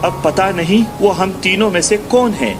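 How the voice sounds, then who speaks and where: A man plays a worried village traveller in a cartoon moral tale. His voice is high for a man, earnest and fearful, with music clearly behind it. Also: moderate pace, lightly acted. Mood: earnest worry. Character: frightened, pious village man on a journey.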